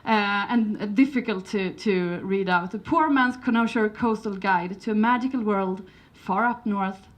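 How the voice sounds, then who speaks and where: A woman's voice: mid-range voice, a little slow, few pauses. A woman from Sweden with a Swedish accent, giving a conference presentation in English, hesitant, with many fillers and restarts, and a light humour. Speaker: conference speaker.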